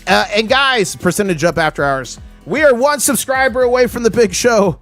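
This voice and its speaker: A man hosting a casual livestream, his voice hoarse, talking with excitable hype-man energy. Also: sits high, moderate pace, soft background music. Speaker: livestream host.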